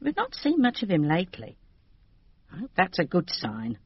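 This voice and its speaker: A woman's voice: on the low side, huge pitch swings, moderate pace, slightly rough, no room sound, dull audio, exaggerated voice. An actress playing a gossipy, deferential English lady's maid who talks in a confiding, colloquial way in a radio drama. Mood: worried, confiding.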